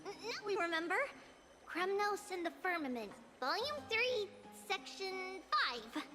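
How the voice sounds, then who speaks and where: A woman voicing a sweet, absent-minded little lady in a video game, speaking gently and hesitantly. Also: extremely high pitch, sing-song, soft background music, heavily acted. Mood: musing. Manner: slow, gentle, hesitant speech.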